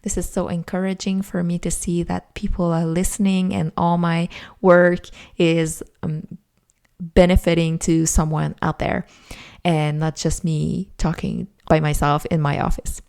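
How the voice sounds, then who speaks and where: A woman's voice: on the low side, level tone, unhurried, vocal fry, a little mellow. A young adult woman with a French Canadian accent, a native French speaker, hosting her own podcast in an earnest, chatty way from her home office, with many "like" fillers. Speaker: podcast host. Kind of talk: solo podcast monologue.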